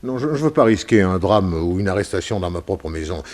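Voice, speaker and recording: Hesitant, low-voiced worried businessman. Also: expressive, a little fast. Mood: anxious. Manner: hesitant, stammering, fussy. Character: worried, hesitant wealthy businessman under death threat. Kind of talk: 1960s French radio serial acting.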